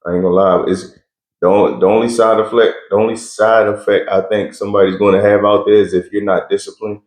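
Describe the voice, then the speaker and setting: A man's voice: fairly low pitch, level tone, fast talker, darkish tone, roomy sound. A young man answering interview questions in a laid-back, blunt way with slang and frequent laughs. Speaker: interview guest.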